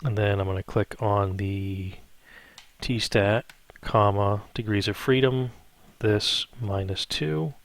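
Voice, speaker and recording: Male math instructor, lowish voice, dry close sound, informal tutorial delivery, thinks out loud and frequently tags on check-in questions. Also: takes it slowly, no room sound. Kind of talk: improvised step-by-step spreadsheet tutorial.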